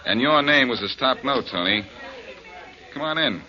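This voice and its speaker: A man's voice: mid-range voice, lively intonation, normal speed, a crowd in the background, natural voice. An actor playing a tough, sardonic male detective who threatens and wisecracks, in 1940s radio drama style. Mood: menacing. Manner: menacing, sardonic. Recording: dull old radio broadcast.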